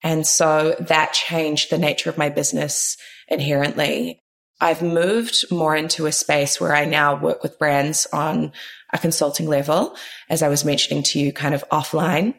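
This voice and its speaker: Low, gravelly New woman. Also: flat delivery, normal speed. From New Zealand. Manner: thoughtful, frequent hedges like "kind of". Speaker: guest, founder and consultant. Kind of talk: reflective interview conversation.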